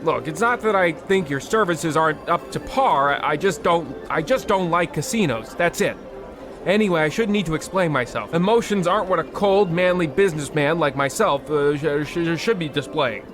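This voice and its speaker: Male actor as fussy businessman husband, high pitch, stammering, indignant, old radio comedy style, music behind. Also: moderate pace, close to an everyday voice. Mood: defensive.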